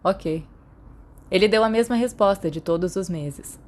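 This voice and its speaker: Brazilian woman narrating fiction, medium pitch. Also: fairly quick. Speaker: podfic narrator. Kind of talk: scripted audiobook narration.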